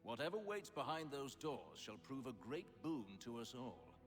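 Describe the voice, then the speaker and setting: A man playing the courtly, scheming leader of a secret order in a video game, speaking in a formal, grandiloquent, deliberate way. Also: medium pitch, very animated, music in the background, natural voice. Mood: grand, intrigued. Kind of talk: video game cutscene acting.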